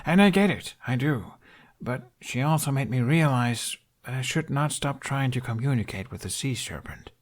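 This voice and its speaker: Man playing an awkward, excitable young dragon rider, pitched up. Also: natural voice. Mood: earnest persuasion. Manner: halting, stammering, self-justifying.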